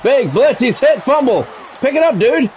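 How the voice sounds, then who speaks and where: A man's voice: very high voice, very animated, normal speed, muffled recording, a little hiss or hum. A man streaming a sports game, mixing radio-style play-by-play with casual chat.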